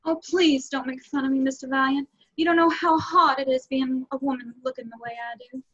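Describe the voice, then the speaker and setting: A woman playing a sultry, pleading cartoon femme fatale in an amateur table read of a film script, with a breathy delivery. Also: high-pitched, steady tone, brisk, fairly bright tone. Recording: dull, lo-fi home recording.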